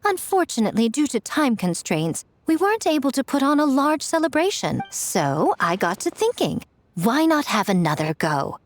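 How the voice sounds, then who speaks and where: A woman's voice: high-pitched, sing-song, moderate pace. A woman voicing a sly, elegant fox-spirit shrine maiden in a video game, coy, smug and playful in a polished manner. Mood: enthusiastic, scheming.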